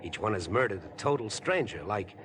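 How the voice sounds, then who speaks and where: Casting: ingratiating, obsessive schemer, very lively intonation, vintage radio thriller style. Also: male voice, fairly low pitch, a little fast. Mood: eager, scheming. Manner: insinuating, overfriendly, wheedling. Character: chatty, ingratiating, obsessive murder-plotting man on a train. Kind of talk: 1950s radio drama acting. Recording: vintage 1950s radio broadcast.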